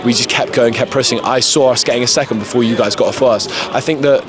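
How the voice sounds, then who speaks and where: A man's voice: mid-range voice, fast. A man, a football fan, giving casual match analysis in a street interview. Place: outdoors outside a stadium. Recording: loud outdoor crowd and traffic.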